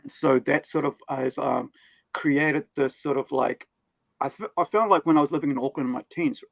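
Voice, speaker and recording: A man's voice: medium pitch, normal speed, creaky, no echo. A man with a New Zealand accent hosting a casual chat, rambling through his points in an anecdotal way. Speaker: livestream show host.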